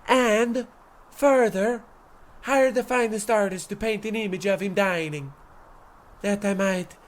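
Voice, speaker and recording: A man's voice: high-pitched, unhurried. A man playing a self-admiring, callous medieval king in a radio comedy, declaiming in a pompous, theatrical way. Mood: self-satisfied. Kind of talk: parody of old radio drama.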